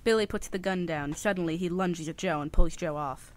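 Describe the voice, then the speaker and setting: A woman's voice: on the low side, a little fast, close to an everyday voice. A woman narrating a radio play, reading scripted stage directions in a plain, matter-of-fact way. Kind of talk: scripted present-tense narration.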